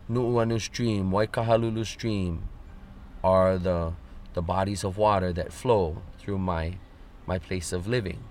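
Male guest from Oahu, low-pitched, earnest and measured. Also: fairly even intonation, darkish tone, a little hiss or hum. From Oahu, Hawaii. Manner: measured, earnest, lists things in series. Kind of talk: reflective personal account.

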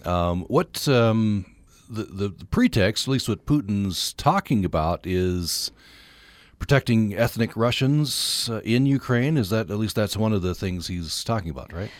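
A man hosting a radio interview, asking questions in a halting way as he searches for words. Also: fairly low pitch, expressive, no echo. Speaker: radio show host.

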